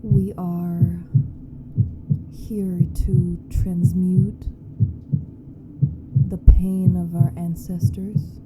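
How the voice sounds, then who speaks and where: A woman leading a guided meditation in a hushed, deliberate manner with long pauses. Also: flat delivery, rough recording. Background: meditation music with a low hum.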